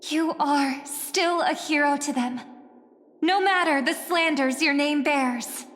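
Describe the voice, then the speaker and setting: A woman's voice: high voice, slow, a bit bright. An actress playing an earnest young female performer in a dramatic video game, speaking with solemn, ceremonial resolve. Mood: tender, resolute. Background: music.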